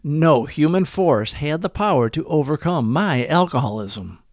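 Guy reading meditations out loud, measured but really moves up and down in pitch, dry sound. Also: animated, no echo. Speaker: narrator of daily recovery readings. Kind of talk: scripted narration, devotional read-aloud.

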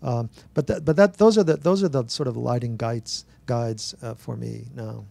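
An older man, an author at a bookstore talk, telling stories to an audience on a handheld mic, animated and acting out dialogue with frequent restarts. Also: medium pitch, normal speed, gravelly. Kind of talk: relaxed storytelling to an audience. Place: bookstore event space.

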